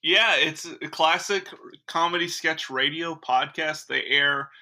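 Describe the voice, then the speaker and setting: A man's voice: a little high, measured pace, bright, forceful tone. A man hosting a podcast in casual comedic chat, with a dry, mock-earnest manner. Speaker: podcast host.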